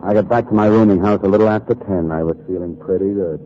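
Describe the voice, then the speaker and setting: An actor playing a hard-boiled, wisecracking newspaper columnist in 1940s radio noir, speaking tersely and toughly. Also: male voice, low-pitched, fast talker, mellow, close to an everyday voice. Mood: brooding narration. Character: hard-boiled, defiant male newspaper columnist narrating his story. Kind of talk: 1940s radio noir acting and first-person narration. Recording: noisy, muffled 1940s radio recording.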